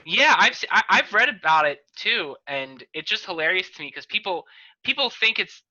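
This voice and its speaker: Bright, forceful man on a lo-fi call. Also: sits high, big pitch swings, normal speed, no room sound. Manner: dry, teasing wisecracks. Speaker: host. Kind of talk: casual chat over video call.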